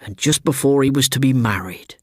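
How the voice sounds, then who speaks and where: A man's voice: mid-range voice, brisk, darkish tone, breathy edge, no room sound, clean studio sound, voice pushed up, natural voice. A man voicing a casual village butcher passing on grim news, in a ghost story reading. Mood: matter-of-fact. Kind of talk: dramatised audiobook ghost story.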